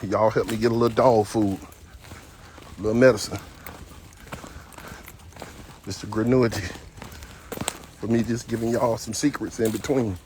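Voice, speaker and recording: A man's voice: on the low side, fairly even intonation, moderate pace, long pauses, a little mellow, slight background noise. A man in his fifties, a dog trainer, talking casually and bluntly while walking his dog on an outdoor trail. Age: 50s. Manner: talkative, blunt, folksy storytelling.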